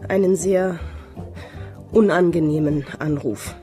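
A woman's voice: on the low side, slow pace, dark tone, faint music behind. Guarded, prickly, proper lady. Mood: indignant. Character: reclusive wealthy woman, proper, wary, easily affronted. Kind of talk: German radio thriller acting.

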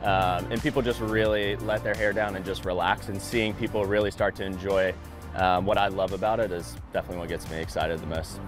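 Male boat captain from the Midwest, brisk casual interview delivery, lower register. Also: fairly even intonation. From American Midwest. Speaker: sailboat captain. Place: outdoors at a marina. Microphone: lapel. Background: music.

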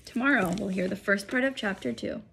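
Creaky-voiced American woman reading aloud. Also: mid-range voice, brisk, fairly bright tone, a little hiss or hum. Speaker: school principal reading aloud. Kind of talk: storybook read-aloud narration. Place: small furnished room indoors. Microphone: phone or laptop at arm's length.

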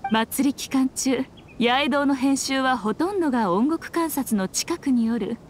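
Haughty, elegant high-pitched lady. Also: a little slow, a little hiss or hum. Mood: lofty, brisk. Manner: imperious, archaic, self-assured. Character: haughty, elegant female editor with archaic speech. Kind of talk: Japanese anime game voice acting.

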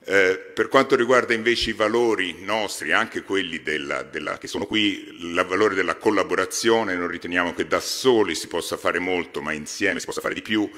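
Very deep-voiced man speaking in a hall. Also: sharp tone. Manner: halting, frequent hesitations. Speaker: foundation president. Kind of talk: formal speech at a podium. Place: large exhibition hall stage. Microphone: podium microphone. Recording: reverberant hall.

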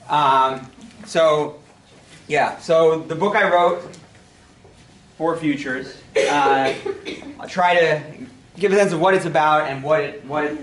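A man in his thirties giving a public talk without a microphone, speaking loudly and often restarting his phrases. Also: medium pitch. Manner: loud, unamplified, restarting phrases. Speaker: guest speaker, author. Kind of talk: public lecture. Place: community hall, indoors. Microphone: no mic, far camera. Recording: echoey hall, far from the mic, faint noise.

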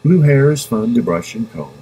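A man reading a children's rhyming book aloud in a playful, sing-song manner with drawn-out words. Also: medium pitch, lively intonation, warm tone, poor audio. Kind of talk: expressive storybook read-aloud in rhyme. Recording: home recording with background noise.

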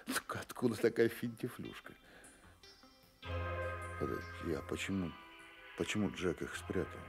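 An actor playing a polite, insistent private detective in a radio play, pleading courteously in halting phrases. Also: male voice, low-pitched, animated, vocal fry, music in the background, exaggerated voice. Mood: musing, puzzled. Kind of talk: Soviet-style radio play acting.

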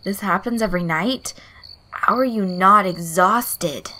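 Woman doing a deadpan, gruff, bored boy. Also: medium pitch, measured pace, rough recording. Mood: flat, tired. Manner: flat, bored delivery. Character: deadpan, gruff, foul-mouthed boy, secretly fond. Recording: soft music behind the voice.

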